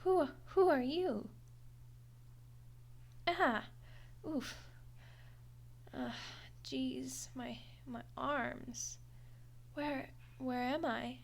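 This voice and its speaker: A woman's voice: sits high, extremely slow, long pauses, heavily acted. A woman voicing a friendly, awkward undead skeleton girl in an audio roleplay, chatty and hesitant with a wry, defensive streak. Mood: startled, dazed. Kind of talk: audio roleplay monologue to the listener.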